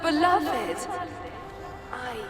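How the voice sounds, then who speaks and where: A woman voicing a sorrowful, confused spirit in a video game, speaking in halting, dreamy fragments. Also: sits high, sing-song, a crowd in the background, heavily acted. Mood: dazed, mournful. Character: sorrowful confused female spirit echoing a dead woman's memories. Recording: cavernous echoing reverb, distant, music behind.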